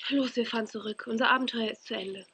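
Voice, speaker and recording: A woman's voice: on the high side, steady tone, fast, a bit bright, slight background noise. A woman playing a sensible, decisive young adventurer and elder sister in a children's audio drama. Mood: resigned.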